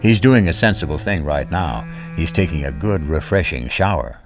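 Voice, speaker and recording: A man's voice: extremely low pitch, expressive, normal speed, over music. A man hosting a radio mystery show with wry, suspenseful scripted narration.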